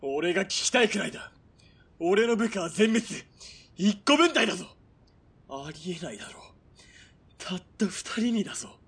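A man's voice: sits high, animated, moderate pace, gravelly, long pauses, fairly bright tone, husky, full character voice. A man playing a bullying, hot-tempered military police commander in a radio drama, barking threats. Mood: furious, rattled.

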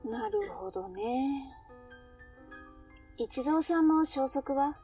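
A woman's voice: sits high, animated, drawn-out words, creaky, warm tone, recorded from a distance, faint music behind, heavily acted. A woman playing an inquisitive, sympathetic cafe regular and confidante in a Japanese audio drama. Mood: curious.

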